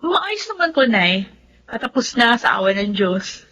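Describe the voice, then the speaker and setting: A woman playing a lively, dutiful young Filipino bride-to-be daughter with a husky voice in a radio drama. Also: a little high, measured pace, full character voice, rough recording. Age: young adult. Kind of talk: Tagalog radio drama acting.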